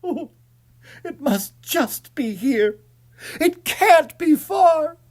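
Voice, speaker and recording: A man voicing a panicking man trapped in a dark haunted house in a story, crying out desperately. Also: very high-pitched, lively intonation, extremely slow, pitched above the performer's own voice, full character voice. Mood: anxious.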